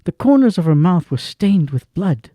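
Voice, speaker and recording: A man's voice: sits high, sing-song, normal speed, warm tone, no echo. A man narrating a radio drama in a grave, emphatic way that swells on key words.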